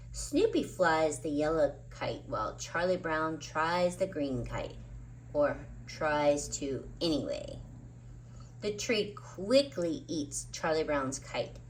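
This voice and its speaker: A woman reading a children's picture book aloud in an expressive, emphatic way, drawing out key words. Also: fairly low pitch, a little hiss or hum, rough recording. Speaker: children's storybook reader. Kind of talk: animated read-aloud narration of a picture book.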